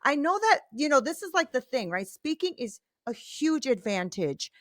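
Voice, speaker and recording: A woman's voice: high-pitched, big pitch swings, moderate pace, fairly bright tone, no room sound, studio quality. A woman hosting an interview podcast, upbeat and enthusiastic, talking to her listeners as y'all. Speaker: podcast host. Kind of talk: lively interview chat.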